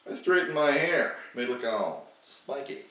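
Narrator: man, twenties, mid-pitched, theatrical and mock-serious storytelling, recorded at home with audible room. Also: very animated, moderate pace, bright, forceful tone, echoey room. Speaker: narrator, YouTube host. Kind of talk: theatrical comic fan fiction reading. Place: small living room, indoors. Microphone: desktop USB microphone close by.

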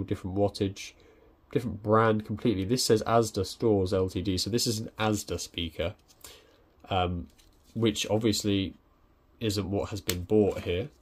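A man's voice: fairly low pitch, darkish tone. A young man talking casually through an unboxing at his desk, hesitant with many ums and restarts. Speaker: tech reviewer. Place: indoors at a desk.

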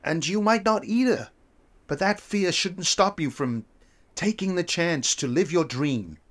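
An actor playing a calm older mentor who gently encourages a young woman to chase her dream. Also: a little high, measured pace. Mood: encouraging.

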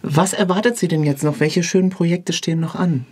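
A man with a husky voice, interviewing a guest conversationally as a podcast host. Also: a little high, fairly even intonation, fairly quick, a little mellow.

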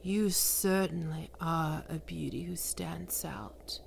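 Vrouw speelt een zwoele, heerszuchtige demonenkoningin. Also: female voice, low voice, vocal fry, a little hiss or hum, heavily acted. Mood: sultry. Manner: slow, sultry, domineering, often whispering. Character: seductive, imperious female demon queen of lust. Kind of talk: ASMR roleplay audio drama.

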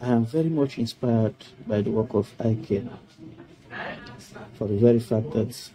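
A middle-aged man from Sierra Leone giving a formal testimonial about a project he completed, speaking in a slow, measured way on a video call. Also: on the low side, dark tone, poor audio. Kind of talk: prepared testimonial speech. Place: indoor room. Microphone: webcam on a video call.

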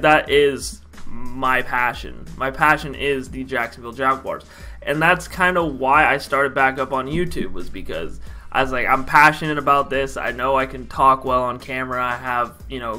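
Young American man, bright forceful voice, emphatic slangy delivery, casual vlog storytelling, faint music. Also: mid-range voice, normal speed. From Idaho, United States. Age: young adult. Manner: animated, slangy, emphatic fan talk. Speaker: YouTube vlogger. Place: small bedroom, indoors.

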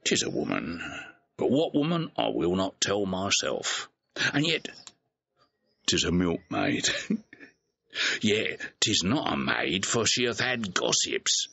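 An actor playing a cheeky, simple, rambling clown of a servant in a Shakespearean audio play, with a rough, drawling delivery. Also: male voice, low voice, huge pitch swings, takes it slowly, pauses often, pushed and bright, lo-fi sound, full character voice. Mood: musing, amused. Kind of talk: classical stage comedy acting in an audio play.

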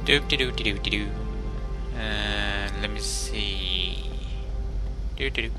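A man's voice: fairly low pitch, takes it slowly, loud music behind the voice. A young man with a Swedish accent, commentating his gameplay in a crude, swearing rant. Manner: crude, angry ranting with swearing. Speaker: let's play gamer.

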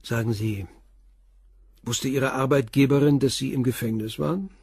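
An actor playing a persistent, probing police inspector in a crime radio play, questioning in a terse, insistent way. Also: male voice, medium pitch, fairly even intonation, normal speed, vocal fry, darkish tone, husky, no echo, clean studio sound.

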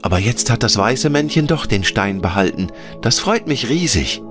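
Man putting on an eager, higher-pitched little girl. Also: big pitch swings, moderate pace, soft background music, close to an everyday voice. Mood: delighted. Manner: eager, naive, lilting questions. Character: curious, delighted, innocent little girl. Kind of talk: fairy-tale audiobook character.

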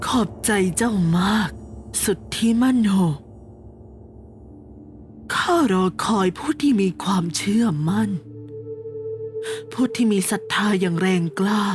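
A woman voicing an ancient, benevolent guardian spirit in an animated horror story, speaking in a stately, solemn way over music. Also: medium pitch, takes it slowly, pauses often, lightly acted. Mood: solemn gratitude. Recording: clear music under the voice.

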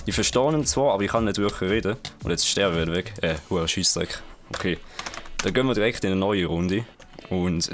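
A young man with a Swiss German accent talks through a round of a game as he plays it. His voice is low, and his delivery is casual and excitable, full of quick reactions and fillers. Faint music plays beneath him. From Switzerland. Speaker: gaming channel host. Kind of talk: live game commentary.

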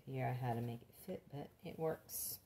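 A woman's voice: extremely low pitch, brisk. An older woman giving a casual, plain-spoken how-to demonstration, recorded indoors in a kitchen. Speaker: gardening demonstrator.

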